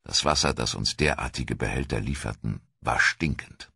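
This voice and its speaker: Man, very low voice, husky, solemn documentary narrator, dramatic scripted reading, measured storytelling. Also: pushed and bright, no room sound, clean studio sound.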